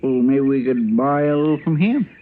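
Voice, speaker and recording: A man's voice: mid-range voice, a little fast, natural voice. A man with a rural American drawl playing a folksy, plainspoken, eager young sidekick in an old-time radio western. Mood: chatty, earnest. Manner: plainspoken, homespun, deferential. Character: folksy, hungry, grumbling young frontier sidekick, eager and simple. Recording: tinny, noisy old radio recording.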